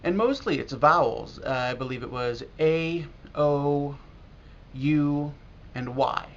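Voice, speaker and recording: An American man talking casually and reflectively in a video blog as a language learner, halting now and then with pauses. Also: fairly high pitch. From United States. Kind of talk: casual talk to camera about learning. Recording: dull lo-fi audio with clear noise.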